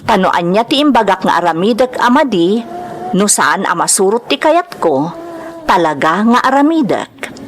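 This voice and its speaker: A woman playing a worried young woman in an audio drama, with an Ilocano accent, telling her family's story. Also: medium pitch. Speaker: actor in a radio-style drama. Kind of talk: dramatized narration and dialogue. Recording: clear background noise.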